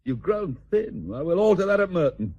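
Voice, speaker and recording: An actor playing an affectionate, vain, war-weary admiral, courtly and hesitant, in a radio drama. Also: male voice, high-pitched, big pitch swings, moderate pace, darkish tone, slightly rough, exaggerated voice. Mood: delighted. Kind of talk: British stage-play radio acting.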